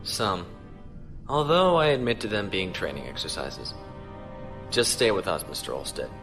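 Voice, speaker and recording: An actor playing a steady, courteous starship captain in a science fiction audio drama, crisp and authoritative. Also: male voice, sits low, a little slow, full character voice. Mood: composed, instructing. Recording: faint music behind.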